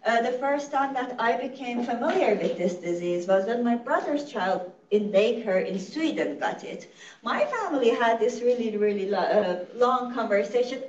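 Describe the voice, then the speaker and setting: A woman's voice: on the high side, animated, normal speed, darkish tone. Woman lecturing in echoey room. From Iran. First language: Farsi. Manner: earnest, explanatory, frequent self-corrections. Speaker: literacy program director giving a presentation. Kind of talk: conversational lecture to medical audience. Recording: echoey, distant, dull-sounding room recording.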